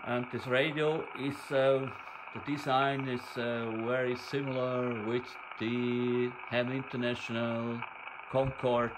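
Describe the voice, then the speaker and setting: A man giving an informal hands-on demonstration of radio equipment, in halting, fragmented phrases. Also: medium pitch, little pitch movement. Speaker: presenter of a radio equipment channel. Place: indoors at a table. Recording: noisy, with hiss and radio static.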